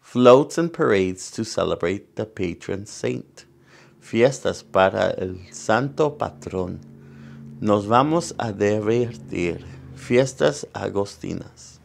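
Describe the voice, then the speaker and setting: A man's voice: on the low side, lively intonation, slow pace, creaky. A man in his forties with a Salvadoran accent, hosting a storytime and reading a picture book aloud, explaining things to children. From San Salvador, El Salvador. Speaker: storytime host. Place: indoor room in front of wooden backdrop.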